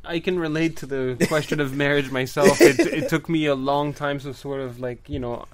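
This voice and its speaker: A man hosting an interview, asking soft, hesitant questions. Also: on the high side, moderate pace.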